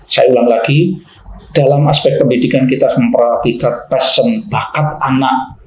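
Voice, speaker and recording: A man's voice: medium pitch, fairly even intonation, normal speed, darkish tone. A man giving unscripted opinion commentary in a didactic, emphatic way, repeating phrases and posing rhetorical questions. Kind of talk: unscripted opinion commentary in an explanatory, lecturing style. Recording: roomy, echoing room sound.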